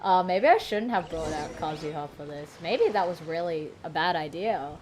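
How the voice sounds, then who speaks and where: A woman's voice: low-pitched, moderate pace, darkish tone. Woman streamer, chatty and sarcastic, noisy mic. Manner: sarcastic, exclaiming, chatty reactions. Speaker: gaming streamer. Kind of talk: casual gameplay commentary.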